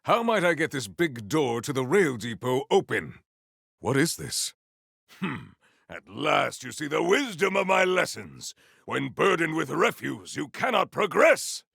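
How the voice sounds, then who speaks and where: A man playing a solemn, proud warrior hunter in a video game, speaking in formal, stilted, declamatory sentences with a gravelly low voice. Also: pushed and bright, no room sound, studio quality, exaggerated voice. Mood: bold, grandiose. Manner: formal, stilted, declamatory speech without contractions. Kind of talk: video game character acting.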